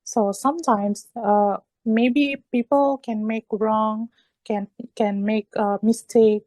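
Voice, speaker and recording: A woman with a fairly high voice and an Indonesian accent, whose native language is Indonesian. She speaks in earnest, encouraging phrases that she often repeats as she searches for words. The sound is dry, with very little room in it. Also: steady tone, takes it slowly, dark tone, dry recording. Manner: hesitant, repetitive phrasing in earnest, encouraging talk. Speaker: English learner in an online discussion group. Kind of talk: motivational discussion in an online meeting.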